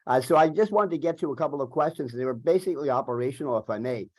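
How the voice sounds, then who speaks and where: A man in the audience asking a speaker polite, hesitant questions, with many pauses and "uh"s. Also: medium pitch, expressive, darkish tone. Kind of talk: question and answer.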